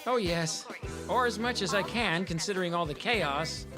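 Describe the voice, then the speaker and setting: A woman's voice: lowish voice, moderate pace, over music, lightly acted. A woman playing a polite, flustered, sensible schoolteacher in an anime dub. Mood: polite, awkward. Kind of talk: English anime dub acting.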